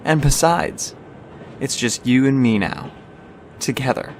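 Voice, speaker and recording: A man acts out a young stallion looking after his little sister in an audio drama. He is mid-pitched, protective and reassuring, speaking gently over faint vehicle ambience. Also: a little slow, a little hiss or hum, natural voice. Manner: soft, encouraging, caring. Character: young male pony, gentle, protective, reassuring big brother.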